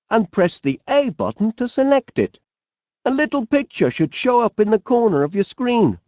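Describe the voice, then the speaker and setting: A man's voice: sits high, darkish tone, dry recording, clean studio sound. A man playing a cheery, eccentric middle-aged inventor in a cartoon video game, with a Northern English accent and a dotty, genial, musing manner. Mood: helpful, instructive.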